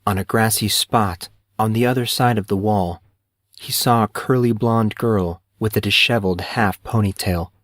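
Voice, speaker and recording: A man narrating a fantasy novel in a measured, even storytelling manner. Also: lowish voice, dry recording, clean studio sound. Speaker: audiobook narrator.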